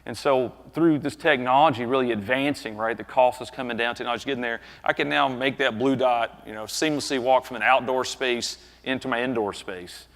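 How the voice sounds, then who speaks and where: A man's voice: medium pitch, brisk, hardly any pauses. Southern man presenting in a big hall. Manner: conversational, frequent tag questions, animated gestures while speaking. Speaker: conference presenter. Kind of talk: business conference talk. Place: large conference hall stage. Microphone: headset mic. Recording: long reverb of a large hall.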